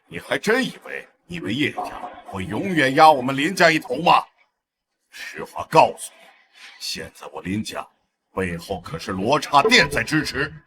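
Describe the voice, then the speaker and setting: A man's voice: mid-range voice, very animated, measured pace, bright, forceful tone, heavily acted. A man acting a smug, scheming middle-aged clan patriarch, a melodrama villain with a sneering, theatrical delivery. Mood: smug menace. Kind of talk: melodramatic short-drama acting.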